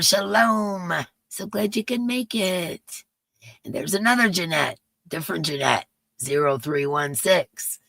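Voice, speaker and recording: A woman with a raspy voice hosting a casual livestream in her yarn room, greeting chat viewers by name in a sing-song way and sounding emotional. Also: very deep voice, fairly bright tone, no room sound. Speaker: livestream host.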